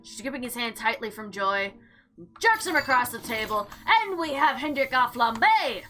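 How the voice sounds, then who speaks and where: A woman giving casual, chatty gameplay commentary and reading visual novel text aloud in an expressive, theatrical way. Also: on the high side, normal speed. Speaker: game player and narrator. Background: background music.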